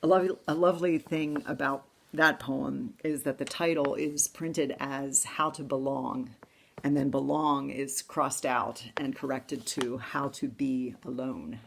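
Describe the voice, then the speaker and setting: Low, gravelly-voiced woman preaching. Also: fairly even intonation, a little hiss or hum. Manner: slow, reflective, intimate reading voice. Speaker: pastor. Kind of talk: sermon with poetry readings. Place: church service, streamed online.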